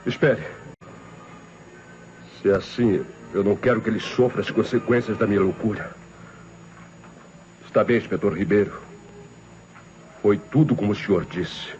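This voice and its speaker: Man acting as a cunning low-voiced Brazilian murder suspect. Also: normal speed, long pauses, a little mellow, a little hiss or hum, natural voice. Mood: resigned. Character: smug, cunning married murder suspect, a father. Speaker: radio actor. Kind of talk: old Brazilian radio drama acting. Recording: dull vintage radio recording.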